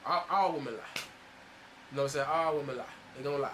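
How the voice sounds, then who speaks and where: A man's voice: mid-range voice, fast, fairly bright tone, a little hiss or hum. A young man giving blunt, profane reaction commentary, often saying "you know what I'm saying". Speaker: reaction streamer.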